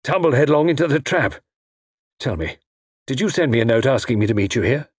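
Man playing a plucky, husky-voiced soldier hero. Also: medium pitch, lively intonation, fairly quick, no echo, clean studio sound, pitched above the performer's own voice. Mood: rueful. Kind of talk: audiobook character voice.

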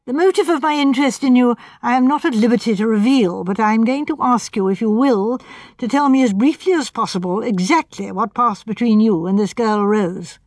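A courteous gentleman speaks in careful, formal sentences, read by a woman. Her voice is fairly high and the recording dry and close. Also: dry recording, lightly acted. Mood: earnest. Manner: polite, measured, formal.

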